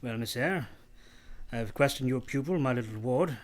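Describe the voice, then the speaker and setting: Guy acting proud gentleman master of the house, radio drama, bossy, curt, kinda halting, mid-pitched voice. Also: expressive, lightly acted. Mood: stern, appraising.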